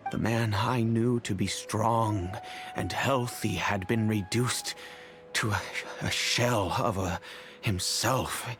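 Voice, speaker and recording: An actor playing a fatherly middle-aged merchant who speaks in a measured, reflective, remorseful way, over faint music. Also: fairly low pitch, natural voice. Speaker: wealthy patron and father figure. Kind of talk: video game voice acting. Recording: game audio with faint music.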